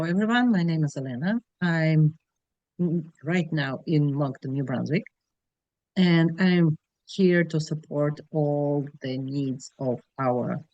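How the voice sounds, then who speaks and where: Slow, gentle woman on a call. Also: deep voice, no room sound, dull audio. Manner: slow, gentle, with soft laughs. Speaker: human resources leader. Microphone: video call.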